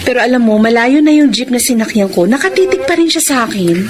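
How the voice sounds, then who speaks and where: A woman's voice: on the high side, expressive, quick pace, lightly acted. An actress with a Filipino accent playing an emotional young working woman in a radio romance drama. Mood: amused, hesitant.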